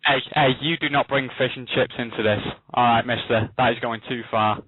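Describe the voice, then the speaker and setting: A British man calling in to a radio show to argue, repeating himself in a halting, indignant way. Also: medium pitch, steady tone, dry recording. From United Kingdom. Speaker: caller. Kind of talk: argumentative phone-in chat. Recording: dry narrow phone line.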